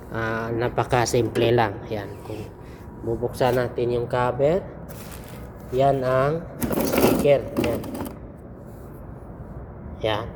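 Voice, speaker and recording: A man with a Filipino accent talks through an informal hands-on tutorial over clear background noise. His delivery is slow and halting, broken into short phrases, with a medium-pitched voice. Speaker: electronics tutorial presenter.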